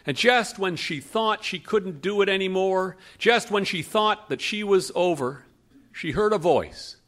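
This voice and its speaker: A middle-aged man narrating a true story on a theatre stage, measured and dramatic with pauses, into a stand mic at a lectern. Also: high-pitched, fairly bright tone. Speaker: radio storyteller and host.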